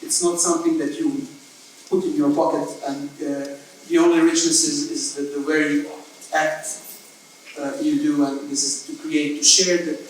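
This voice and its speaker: Hesitant, higher-voiced man in an echoey hall. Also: little pitch movement, normal speed, a little hiss or hum. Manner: hesitant, searching for words, philosophical. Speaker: writer on a panel. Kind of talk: reflective conversation at a literary event. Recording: echoey room, mic far off.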